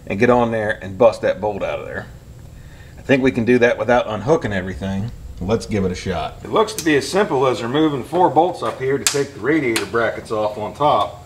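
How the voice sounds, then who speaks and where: A man's voice: lowish voice, a little hiss or hum. A man in his forties hosting a car repair video, talking casually and plainly as he works on a truck in his garage. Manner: relaxed, plainspoken, explaining as he works. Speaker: car repair channel host. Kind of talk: casual DIY repair narration. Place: garage and driveway beside a truck.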